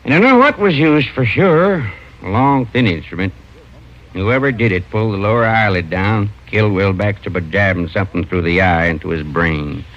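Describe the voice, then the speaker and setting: An American actor playing a plainspoken, folksy country doctor in an old radio drama. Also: male voice, on the low side, lively intonation, moderate pace, a bit bright. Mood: grave. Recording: dull old radio recording.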